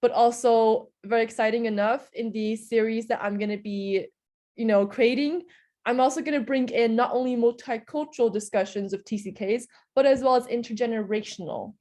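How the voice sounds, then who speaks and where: Earnest young woman, higher voice. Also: fairly even intonation, normal speed, darkish tone. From Hungary. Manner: earnest, explanatory, frequent "in a sense" hedges. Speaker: interview guest. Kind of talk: interview answers.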